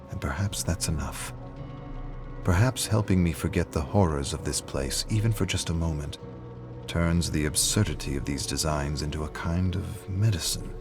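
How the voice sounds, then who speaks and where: An actor playing a grave, brooding storyteller, narrating dark tales in a measured, theatrical delivery. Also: male voice, rumbling low. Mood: wry, musing. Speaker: narrator of game lore logs. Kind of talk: dramatic scripted narration.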